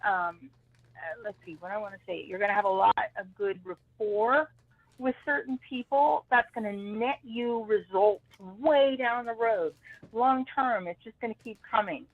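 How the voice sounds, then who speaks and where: A woman's voice: mid-range voice, a little slow, no room sound. A woman giving a psychic reading on a live call-in show, hedging with "I feel like" over and over. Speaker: psychic reader. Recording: tinny phone line.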